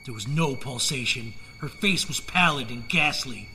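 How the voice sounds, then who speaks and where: A man's voice: mid-range voice, lively intonation, sharp tone. Theatrical male storyteller over music. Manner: measured, theatrical. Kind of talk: dramatic narration of a gothic tale. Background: musical score.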